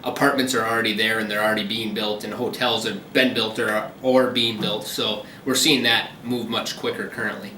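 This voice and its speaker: A man's voice: on the low side, normal speed, hardly any pauses, roomy sound, recorded from a distance, slight background noise, rough recording. A man working as a commercial realtor, explaining local deals in a businesslike way during a phone interview. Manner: steady, businesslike explaining with frequent ums.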